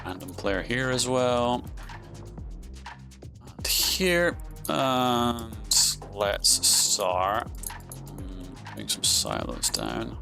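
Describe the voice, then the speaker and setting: A man's voice: fairly low pitch, very animated, very slow, gravelly, pauses often, pushed and bright, faint music behind. A man commentating his own strategy game in a casual style, thinking aloud with frequent ums and restarts and sudden surprised outbursts. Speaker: gaming commentator.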